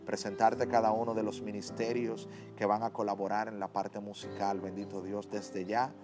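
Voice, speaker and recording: A low-pitched man with a Dominican Spanish accent leads a studio prayer, slow and fervent, repeating each invocation. Soft piano plays clearly under his voice. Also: flat delivery. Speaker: host. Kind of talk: leading a spoken prayer on a TV show. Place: TV studio set. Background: soft piano music.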